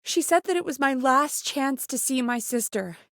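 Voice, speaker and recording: An actress playing an anxious, caring young woman who produces a radio show in a video game, conversational and expressive with a wry, exasperated edge. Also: high voice, moderate pace, no room sound, studio quality. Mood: shaken, confessing. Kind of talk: naturalistic video game dialogue acting.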